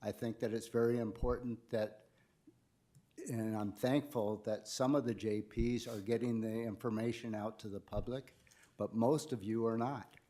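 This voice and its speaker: A man's voice: on the low side, fairly even intonation, moderate pace, mellow. A man giving an earnest, admonishing public comment to county officials.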